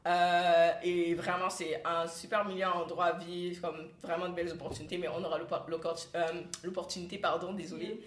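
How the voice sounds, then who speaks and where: A woman's voice: lowish voice, little pitch movement, brisk, few pauses. A woman from Cameroon hosts a casual, joking group chat and slips into English. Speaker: podcast host. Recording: echoey room.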